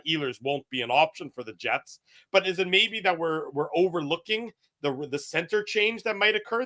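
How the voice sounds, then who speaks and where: A man's voice: high voice, pushed and bright. A man co-hosting a hockey talk show, floating questions and ideas in casual speech. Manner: speculative, tosses out questions and ideas.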